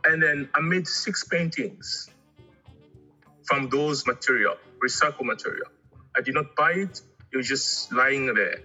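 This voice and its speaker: A middle-aged man from Angola, raised in Congo, chatting casually as an artist guest in an interview, halting and often repeating words. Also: mid-range voice, bright, forceful tone, soft background music, rough recording.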